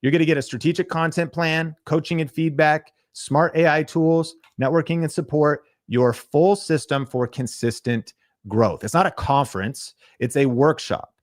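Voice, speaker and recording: A podcast host giving an energetic sales pitch on a livestream, talking straight to his viewers. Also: normal speed, dry recording, studio quality.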